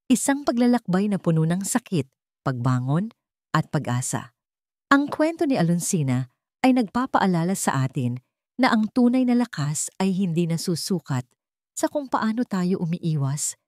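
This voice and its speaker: A woman reads a scripted story in a fairly low voice. Her delivery is measured, earnest and formal. The sound is dry and close, with little room around it. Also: mellow, no room sound.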